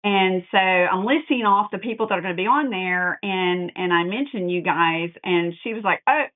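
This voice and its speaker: Low-voiced woman, hesitant, tinny line. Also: normal speed. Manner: hesitant, filler-heavy storytelling. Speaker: podcast co-host. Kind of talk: casual chat.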